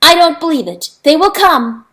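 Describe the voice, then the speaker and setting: A woman's voice: sits high, big pitch swings, normal speed, bright, forceful tone, pitched above the performer's own voice, heavily acted. A woman voicing a proud, desperate male rooster who screams and pleads. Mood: angry. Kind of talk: audiobook character voice.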